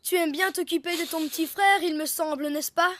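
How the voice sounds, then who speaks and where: A woman's voice: very high-pitched, fairly even intonation, a little fast, fairly bright tone. A woman voicing an eager, good-hearted young boy turtle with an earnest, childlike manner in a French-dubbed children's cartoon. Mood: friendly.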